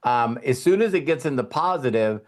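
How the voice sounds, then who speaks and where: A man's voice: medium pitch, animated, fairly quick. A man hosting a casual group call, giving frank, informal trading advice with some mild swearing. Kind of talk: casual coaching chat.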